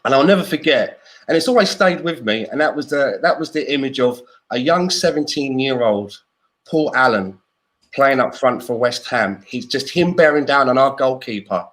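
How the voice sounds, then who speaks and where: A man's voice: mid-range voice, expressive, normal speed, a bit bright. A man in his fifties from South London, with a South London accent, telling stories in a casual chat as a podcast co-host. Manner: chatty anecdotal storyteller.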